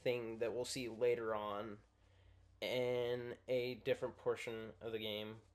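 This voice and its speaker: A young man with a shrill voice, chatting loosely as he plays a video game, rambling with lots of fillers and restarts into a USB microphone. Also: little pitch movement, unhurried. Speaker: gameplay commentator. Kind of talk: casual let's-play chat. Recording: loud game music behind.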